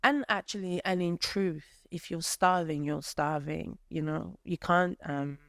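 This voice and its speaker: Woman with a smooth, low voice. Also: unhurried, fairly bright tone, no room sound, studio quality. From Nigeria. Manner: thoughtful, many fillers, acts out friends' voices. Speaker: artist and workshop speaker. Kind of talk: reflective answer at a workshop.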